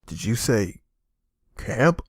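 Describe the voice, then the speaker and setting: A man's voice: mid-range voice, sing-song, drawn-out words, husky, no room sound, exaggerated voice. A man playing a weary survivor, an anxious husband and father, in a dubbed comic drama. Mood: bewildered, worried.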